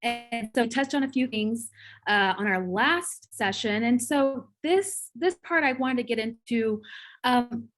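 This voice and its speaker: A woman's voice: fairly high pitch, measured pace, a bit bright. A young woman hosting an interview over a video call, chatty and affirming, with many fillers. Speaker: host, cardiac sonographer. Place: home room, indoors.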